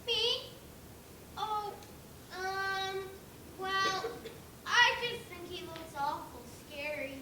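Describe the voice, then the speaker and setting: A female amateur actor playing an excitable, accusing pig juror on stage, with emphatic, theatrical storytelling. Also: extremely high pitch, very slow, pauses often, full character voice. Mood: dramatic. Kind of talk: amateur school stage play. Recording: echoey room with clear noise.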